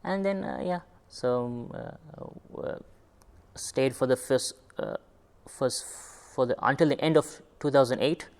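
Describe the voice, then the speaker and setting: Smooth-voiced young man recalling his past. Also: medium pitch, animated, a little mellow. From Sri Lanka. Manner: unhurried, searching for words. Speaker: former student interviewee. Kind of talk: reflective personal interview.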